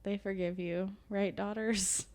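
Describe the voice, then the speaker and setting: A woman's voice: mid-range voice, fairly even intonation, unhurried, darkish tone. A young woman co-hosting a podcast in casual chat, chatty and self-mocking. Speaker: podcast co-host.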